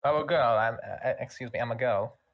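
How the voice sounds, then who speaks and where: A man's voice: medium pitch, fast talker. A man playing a tabletop game, bantering casually with frequent stammers and repeated words.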